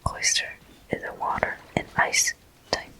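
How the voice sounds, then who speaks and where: Whispered voice, very bright and airy, slow and deliberate, soft, frequent pauses, ASMR gameplay commentary. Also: low-quality recording. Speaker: host of gameplay walkthrough.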